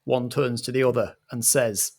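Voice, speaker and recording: A man narrating scenes as the director of a comedic audio roleplay, in a measured storytelling style. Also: medium pitch, fairly even intonation, gravelly, a little mellow.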